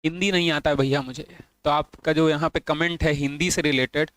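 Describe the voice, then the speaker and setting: A man's voice: fairly high pitch, steady tone, brisk, fairly bright tone, dry recording. A man teaching an online exam-prep lesson, repeating points and asking and answering his own questions, with English phrases mixed into his Hindi.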